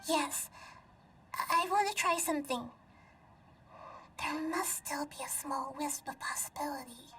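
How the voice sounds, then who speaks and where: A woman voicing a small young female deity in a video game, calm, wise and gentle, explaining in a measured way. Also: high-pitched, recorded from a distance, a little hiss or hum. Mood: grave, resolved. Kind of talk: video game cutscene acting.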